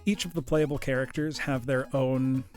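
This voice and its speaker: A man's voice: medium pitch, steady tone, moderate pace, a little mellow. A man streaming a retro game live, chatting casually with viewers he calls his class; his voice is congested and tired. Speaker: streamer playing retro games. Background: game music.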